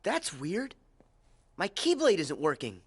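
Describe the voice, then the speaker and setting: Plucky, high-voiced boy hero. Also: huge pitch swings, slow pace, husky, no echo, full character voice. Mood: puzzled. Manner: earnest, impulsive. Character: plucky, hot-headed, determined teenage boy hero. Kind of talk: video game voice acting. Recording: game music under the voice.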